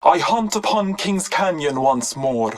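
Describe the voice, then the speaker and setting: A woman's voice: low voice, lively intonation, measured pace. A woman voicing a devout, mystical Norse hunter in a video game, with solemn, archaic, formal delivery. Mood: solemn reverence. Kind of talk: video game character voice lines.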